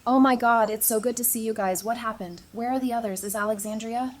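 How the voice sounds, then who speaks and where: A woman's voice: on the high side, fairly even intonation, a little fast, lightly acted. A woman playing a caring, frank apocalypse survivor in a naturalistic audio drama. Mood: concerned. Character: caring, frank female zombie-apocalypse survivor, hurt by betrayal.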